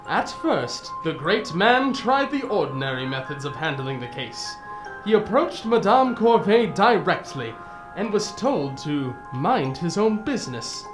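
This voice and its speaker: Woman doing proper old gent storyteller in a radio drama, very animated, music behind. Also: fairly low pitch. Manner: chuckling, proper, old-fashioned raconteur. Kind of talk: radio drama narration and dialogue.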